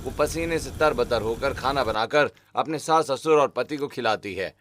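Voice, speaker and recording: A man's voice: medium pitch, normal speed, fairly bright tone, slight background noise. A man narrating a scripted family moral story as a storyteller.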